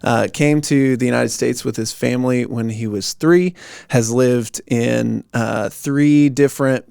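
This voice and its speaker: A man hosting a podcast, introducing his guest in an earnest, halting way and offering generous praise. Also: mid-range voice, steady tone, vocal fry, a little mellow, clean tone, dry recording, clean studio sound. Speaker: podcast host. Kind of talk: podcast interview.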